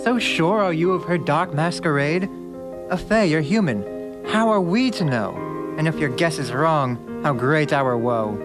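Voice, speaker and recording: Earnest headstrong squire lad guy acting, lightish pitch, gallant rhyming radio drama lines, music behind him. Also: animated, measured pace. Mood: indignant. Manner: earnest, archaic diction. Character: young squire, gallant, headstrong defender of a damsel. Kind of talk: rhyming verse radio drama in mock-Shakespearean English. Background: musical accompaniment.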